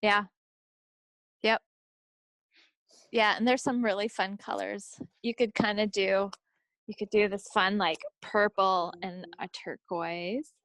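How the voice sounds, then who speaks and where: A woman co-hosts a craft podcast in a casual, unscripted way. Her voice sits at an average female pitch and is recorded dry, with very little room sound. She talks informally, as if chatting with a friend. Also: steady tone, slow pace, pauses often, a bit bright, no room sound, rough recording. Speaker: co-host of a knitting podcast.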